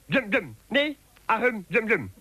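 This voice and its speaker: A man putting on the voice of an excitable male radio announcer for a children's programme, in a bouncy sing-song manner. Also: sits high, a little slow, breathy edge, no echo, pitched above the performer's own voice, full character voice. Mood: chirpy.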